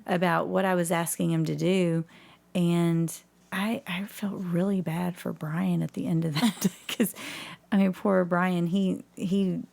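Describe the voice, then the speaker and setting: A woman's voice: on the low side, level tone, unhurried, gravelly, a little mellow. A woman speaking as an enthusiastic guest in a casual interview about her own work. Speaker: podcast guest, community theatre actor and director.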